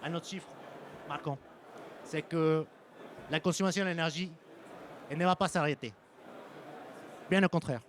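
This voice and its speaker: A man with a Mexican Spanish accent giving a conference presentation as a sales engineer, pausing often with ums and restarting phrases. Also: a little high, slight background noise. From French-Mexican. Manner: hesitant, frequent ums and restarts. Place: conference hall.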